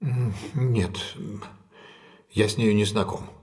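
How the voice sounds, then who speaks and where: An actor playing a shrewd, self-possessed gentleman detective in an audio drama, measured and authoritative. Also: on the low side, steady tone. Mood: inquisitive.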